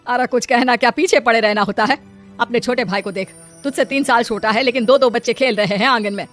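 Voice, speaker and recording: A woman's voice: on the high side, fairly quick, a bit bright, soft background music, natural voice. A woman voicing a nagging middle-aged mother of grown children in a moral-story cartoon. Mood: scolding. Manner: scolding, exasperated.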